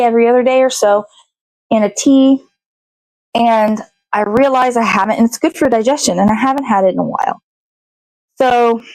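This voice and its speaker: High-voiced woman chatting casually. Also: a little mellow, poor audio. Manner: rambling, thinking aloud, sing-song asides. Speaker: show host. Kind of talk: casual chat while cooking. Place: home kitchen.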